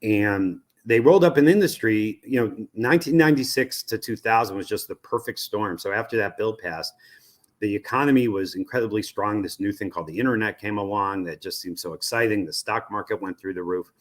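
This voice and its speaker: Interview guest, American man, lowish pitch, industry analyst explaining step by step. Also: brisk. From United States. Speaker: media research executive, interview guest.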